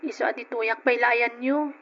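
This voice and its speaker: A woman's voice: on the high side, fairly even intonation, moderate pace, fairly bright tone. An actress playing an anxious, pleading young woman in an old-style radio drama, with an Ilocano accent and emotional delivery. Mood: hesitant, evasive. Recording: dull lo-fi old radio sound.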